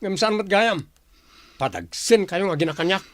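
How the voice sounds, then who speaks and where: A man's voice: high voice, expressive, moderate pace, a bit bright, no echo, studio quality. A man playing an irritable, callous young husband in an audio drama, with a curt, scornful manner. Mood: scornful, irritated.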